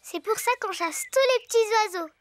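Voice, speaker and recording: A woman's voice: very high voice, moderate pace, no room sound. An actress playing a cheerful, chatty little girl piglet in a children's cartoon dub. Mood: chirpy, curious.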